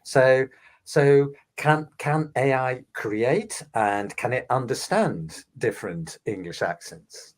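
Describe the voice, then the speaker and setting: A man hosting a discussion, polite and measured, who starts his questions with a few hesitant fillers. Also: medium pitch. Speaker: host, company head. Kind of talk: casual discussion.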